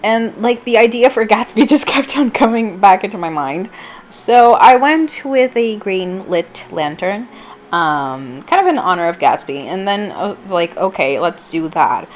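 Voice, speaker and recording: Female vlogger, medium pitch, voice a touch off from allergies; informal, rambling, chatty craft voice-over. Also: lively intonation, unhurried, a little hiss or hum. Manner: informal, rambling, self-deprecating, filler-heavy. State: slightly off voice from allergies. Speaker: vlogger, channel host.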